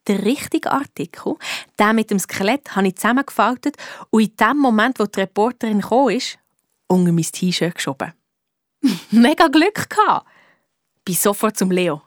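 A woman playing a clever, eager schoolgirl detective with a Swiss accent, telling her case in a lively, colloquial way in a children's radio play. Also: mid-range voice, normal speed, no room sound, studio quality, close to an everyday voice. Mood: excited, pleased.

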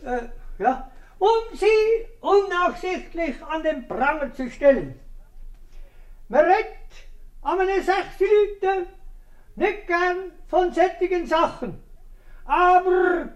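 High-voiced, stammering pompous Swiss judge. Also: male voice, heavily acted. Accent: Swiss German. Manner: halting, stammering oratory. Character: pompous, flustered male judge rehearsing a moralising speech. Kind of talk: 1950s radio comedy acting.

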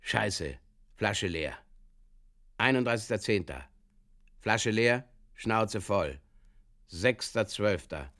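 A man playing a despairing drinker who reads out absurd diary entries in terse, deadpan fragments, in a comic audio drama. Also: fairly low pitch, slow pace, pauses often, heavily acted. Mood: bleak, weary.

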